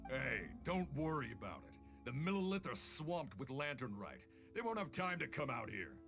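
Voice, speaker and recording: A man playing a cocky, crude bandit boss in a video game, with rough street-thug diction. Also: mid-range voice, very animated, moderate pace. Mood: cocky, bossy. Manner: crude, bossy, swinging into loud shouts. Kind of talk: animated video game acting. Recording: music behind the voice.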